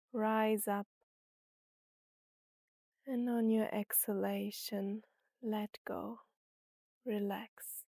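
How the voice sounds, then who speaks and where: A woman narrating a guided meditation and story in an unhurried, soothing way, with long pauses between phrases. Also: medium pitch, creaky, mellow, no echo, clean studio sound.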